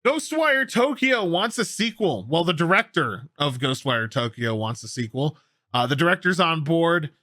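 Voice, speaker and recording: Male gaming news host: forceful bright tone, slightly high voice, opinionated and casual, repeats for emphasis. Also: dry recording, clean studio sound.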